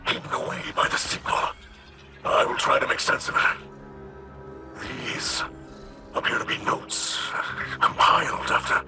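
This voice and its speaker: A gentle alien scholar from a sci-fi game. The voice is slightly high, hushed and breathy, with careful formal phrasing full of curiosity. Music plays clearly behind it. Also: male voice. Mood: curious wonder. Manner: measured, formal, hesitant, wonder-filled. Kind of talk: scripted video game dialogue.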